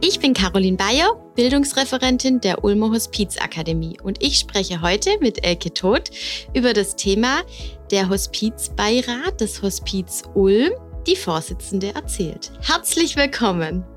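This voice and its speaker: A woman hosting a podcast interview, asking friendly, encouraging questions and backing her guest with steady listening sounds. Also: mid-range voice, measured pace, soft background music. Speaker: podcast host, education officer.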